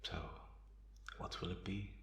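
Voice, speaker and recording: A man playing a calm, menacing male vampire in an ASMR roleplay, speaking in a deliberate, taunting way in a roomy, echoing space. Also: close to an everyday voice. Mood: quietly menacing. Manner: deliberate, softly chuckling, taunting. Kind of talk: ASMR roleplay, slow intimate monologue.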